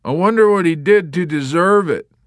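A man's voice: fairly high pitch, sing-song, moderate pace, fairly bright tone, no echo, clean studio sound, voice pushed up. A man voicing a sick warrant officer who laments his bad luck in a whining, aggrieved way. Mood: lamenting.

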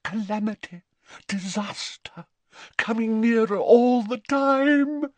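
A man voicing an eccentric, haughty middle-aged woman seer, speaking in a high, theatrical, mystical manner. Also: big pitch swings, a little slow, no echo. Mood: ominous.

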